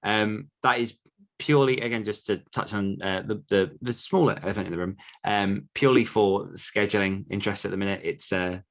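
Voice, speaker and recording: A man's voice: on the low side, fairly even intonation, fairly quick. A man, talking casually and thoughtfully as an author and podcast host answering questions.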